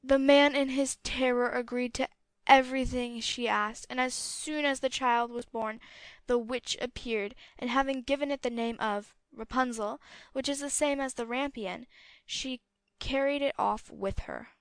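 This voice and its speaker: A woman narrating a fairy tale as an audiobook, with a clear storytelling delivery. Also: high-pitched, steady tone, measured pace, dry recording.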